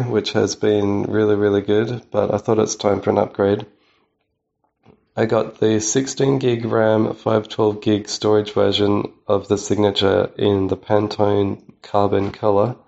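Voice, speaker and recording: A man from Australia with a cold, recording a message as a listener. His low voice is gravelly and flat in tone. The lo-fi recording sounds dull. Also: a little slow. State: has a cold. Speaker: listener sending a voice clip.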